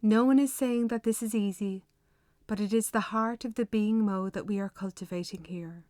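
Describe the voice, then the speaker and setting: A woman leading a guided meditation with measured, unhurried, gently instructive delivery. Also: mid-range voice, steady tone, dry recording, studio quality.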